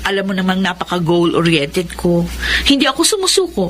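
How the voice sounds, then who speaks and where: A woman's voice: fairly low pitch, very animated, fairly quick, a little hiss or hum. A woman playing a cheeky, confident younger sister in a Filipino radio drama, bubbly and boastful, with a Filipino accent. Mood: proud, playful. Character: cheeky, confident, driven younger sister, proud new driver.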